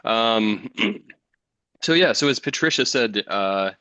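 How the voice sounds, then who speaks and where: A man in his thirties from Wisconsin with an American accent, presenting a physics education webinar in a relaxed, chatty way with many ums. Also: medium pitch, slow pace, clean tone, no room sound, highs cut off. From Wisconsin, United States. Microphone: computer mic on a video call.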